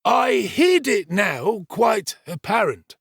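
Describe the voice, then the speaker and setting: A pompous manservant from a storybook , acted out by a man, full of his own importance. His voice creaks and rasps, and he draws out each emphatic phrase in great swoops of pitch. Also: big pitch swings, slow, a bit bright, dry recording, clean studio sound, exaggerated voice. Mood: dramatic, grave. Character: pompous, self-important male servant telling his alarming adventure. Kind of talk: dramatised storybook reading.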